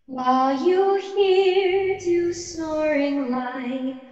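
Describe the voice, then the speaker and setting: Airy spirit for audio drama: drawn-out sung verse, dull echoing sound over music. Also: female voice, high-pitched, a little mellow. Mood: eerie warning. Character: ethereal airy spirit singing a warning. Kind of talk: sung verse in a radio play. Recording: muffled, long echoing reverb with music.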